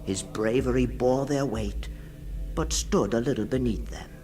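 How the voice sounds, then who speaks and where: Slow, solemn male storyteller over music. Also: medium pitch, warm tone. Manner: solemn, portentous, deliberate pauses. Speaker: story reader. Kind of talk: scripted dramatic narration of a fantasy tale.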